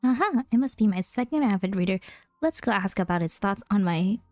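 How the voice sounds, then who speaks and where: Mellow, boyish young male bard voiced by a woman. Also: medium pitch, lively intonation, brisk, dry recording, soft background music, lightly acted. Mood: cheerful. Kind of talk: video game voice acting.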